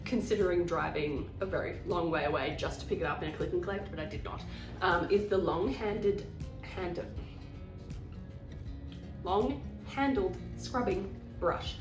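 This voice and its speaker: A woman's voice: fairly low pitch, measured pace, echoey room, distant mic, over music. Nasal, chatty woman with a cold. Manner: chatty and rambling, self-deprecating. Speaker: vlogger. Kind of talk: casual haul vlog.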